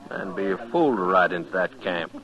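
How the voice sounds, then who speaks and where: A man's voice: deep voice, lively intonation, fairly quick, fairly bright tone. An American man playing a cold, taunting gunman in a 1950s radio western, with an insolent, clipped delivery. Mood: bored contempt. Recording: dull 1950s radio recording.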